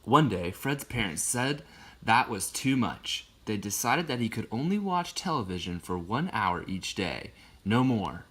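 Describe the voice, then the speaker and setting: A man reading a picture book aloud in an expressive storytelling way, with casual asides. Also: on the low side, measured pace, slightly rough.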